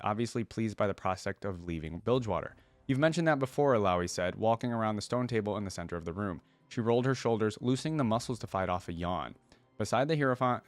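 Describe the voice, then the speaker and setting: Low-voiced man reading aloud, dry sound. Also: no echo. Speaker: reaction video host. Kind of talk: reading story aloud with casual commentary.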